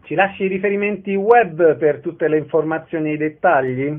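A man's voice: a little high, steady tone, a little fast, narrow, tinny audio. A man hosting a radio programme, putting questions and making announcements in an orderly, presenterly way. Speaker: radio host.

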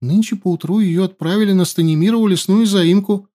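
A man's voice: high voice, a little fast, mellow, pitched above the performer's own voice, close to an everyday voice. A man voicing a cunning young woman herbalist who plays the helpless captive with a whiny, pleading voice. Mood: wailing.

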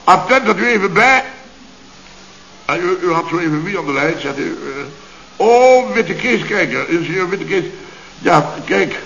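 An actor playing a blustering, indignant, pompous company boss in a radio comedy serial, speaking in mock-formal, long-winded phrases. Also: male voice, high voice, lively intonation, unhurried, gravelly, bright, forceful tone, slight background noise, heavily acted. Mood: flustered, obliging. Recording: roomy, dull old radio recording.

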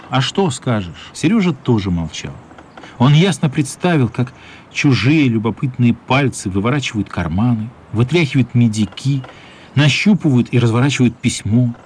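A man's voice: mid-range voice, slightly rough. A man narrating a radio play as scripted, dramatic storytelling. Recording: light steady background noise.

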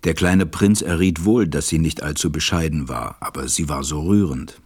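An actor playing a gentle, reflective storyteller, narrating a storybook radio play. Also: male voice, a bass voice, moderate pace, breathy edge, no room sound, studio quality, exaggerated voice. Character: reflective adult male narrator recalling a little friend.

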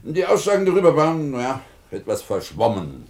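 An actor playing a skeptical, condescending science professor in a radio play, pedantic and ironic. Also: male voice, mid-range voice, huge pitch swings, fairly quick, darkish tone. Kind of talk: German science-fiction radio play acting.

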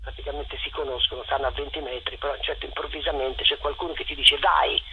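An older woman giving a spontaneous radio interview as a theatre director, effusive and halting, often rephrasing as she goes. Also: rumbling low, fairly even intonation, dull audio, background noise.

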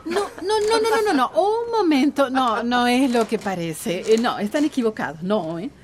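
An actress playing a guarded but tender activist in a radio drama, brisk and easily flustered. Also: female voice, a little high, animated, very noisy, lightly acted.

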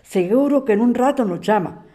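A woman's voice: on the high side, animated, normal speed, mellow, natural voice. A woman with an accent from Spain playing a middle-aged mother in an audio drama, reassuring and insistent, tired of running. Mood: determined. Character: resolute, pleading mother, tired of running, calming daughter. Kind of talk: acted audio drama dialogue.

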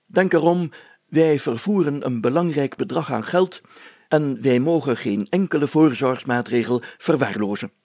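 A man's voice: medium pitch, normal speed, darkish tone, no echo, voice pushed up, lightly acted. A man voicing a pompous, solemn, overcautious village mayor in a storybook reading, speaking in formal, stately phrases. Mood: pompous, grave.

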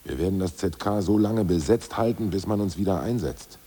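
An actor playing an older ousted Politburo member, a bossy schemer who often talks in hushed, conspiratorial whispers. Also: male voice, low-pitched, fairly quick, husky. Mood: scheming. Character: ousted old party boss, scheming and bossy. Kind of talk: 1980s radio play acting.

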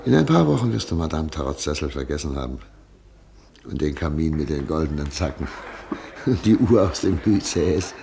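An actor playing Albrecht, a hopeful German émigré doctor in a radio play, talking with dance music behind him. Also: male voice, rumbling low, sing-song, moderate pace, warm tone, slight background noise, heavily acted. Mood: dreamy. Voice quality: creaky.

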